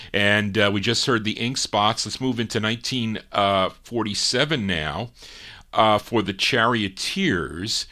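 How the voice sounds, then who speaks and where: A man's voice: lowish voice, pushed and bright. A man hosting a radio show from a studio, chatting casually between records in a rambling, hesitant way with many fillers. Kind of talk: casual on-air chat introducing records. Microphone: studio microphone through a mixer.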